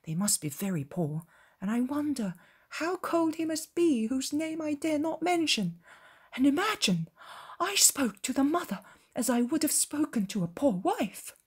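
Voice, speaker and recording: A woman's voice: high voice, big pitch swings, normal speed, pitched above the performer's own voice. A woman voicing a kindly, compassionate male shepherd in a story, speaking earnestly and eagerly. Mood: tender, excited.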